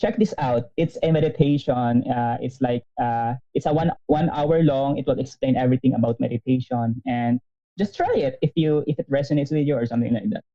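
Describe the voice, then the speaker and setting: Animated man in his thirties, muffled audio. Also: medium pitch, a little fast. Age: 30s. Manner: animated, laughs often, many restarts. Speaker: podcast guest. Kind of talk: casual interview storytelling.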